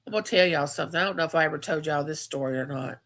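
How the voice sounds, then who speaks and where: A woman's voice: deep voice, rapid-fire, fairly bright tone. A woman, hosting a casual live chat and telling stories, repeating phrases for emphasis. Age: 50s. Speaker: livestream host.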